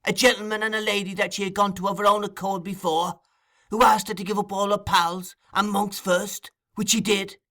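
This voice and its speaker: A man voicing a cunning, raging old male crime boss in an audiobook, insistent and feverish, building to shouts. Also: very high-pitched, moderate pace, creaky, few pauses, slightly rough, voice pushed up, heavily acted. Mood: frenzied. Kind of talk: audiobook character acting.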